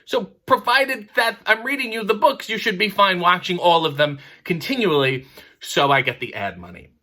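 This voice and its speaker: Animated, bright-voiced man with wry asides. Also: high-pitched, moderate pace. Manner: wry, mock-critical commentary. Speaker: storyteller host. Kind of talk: picture book reading with comic asides.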